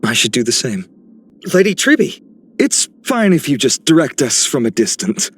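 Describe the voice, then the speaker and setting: A man playing an earnest, resolute young warrior in a video game, with a husky voice. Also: mid-range voice, big pitch swings, moderate pace. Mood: respectful resolve.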